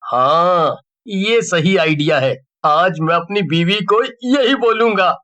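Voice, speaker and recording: A man's voice: high voice, animated, takes it slowly, sharp tone. A man acting out dialogue in a dramatized story. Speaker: voice actor.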